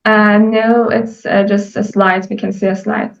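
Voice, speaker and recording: A woman's voice: mid-range voice, level tone, a little slow, creaky. A woman helping out as organizer of an online session, chatting casually over a distant, muffled video call. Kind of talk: casual helpful chat.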